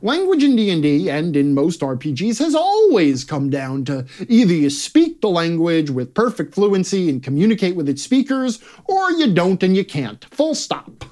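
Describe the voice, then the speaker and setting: Male, brash sarcastic columnist reading aloud, very lively swooping intonation, theatrical stresses. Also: high-pitched, normal speed, hardly any pauses. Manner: brash, profane. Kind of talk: scripted comedic rant read aloud.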